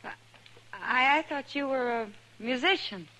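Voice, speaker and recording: A woman's voice: sits high, takes it slowly, bright, forceful tone, exaggerated voice, poor audio. An actress playing a friendly, wistful frontier woman in a 1950s Western radio drama, a little hesitant. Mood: curious, hesitant. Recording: old radio recording, faint noise.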